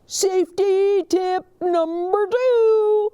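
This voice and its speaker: A woman voicing an earnest male police officer in a storybook, reciting safety tips to children in a slow, emphatic, drawn-out delivery. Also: very high voice, steady tone, dark tone, pitched above the performer's own voice, heavily acted. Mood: emphatic, instructive.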